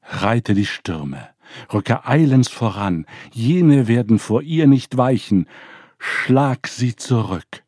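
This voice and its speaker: A man's voice: mid-range voice, animated, slow, darkish tone, husky, dry recording, studio quality, voice pushed up. A man reading aloud, voicing Anschar, an aged male chief god issuing commands. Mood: commanding.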